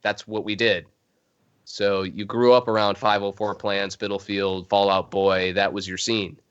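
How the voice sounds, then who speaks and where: Laid-back low-voiced Chicago man. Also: flat delivery, measured pace, fairly bright tone, smooth. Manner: rambling, laid-back, chuckles often. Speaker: drummer guest. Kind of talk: casual interview chat. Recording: muffled lo-fi video call audio.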